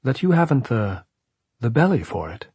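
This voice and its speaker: A man's voice: medium pitch, lively intonation, normal speed, a little mellow, husky, no echo, pitched above the performer's own voice. A man voicing a mild, well-bred ghostly servant in an audiobook, formal, coldly polite and insinuating. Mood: regretful, taunting. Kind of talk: audiobook character voice.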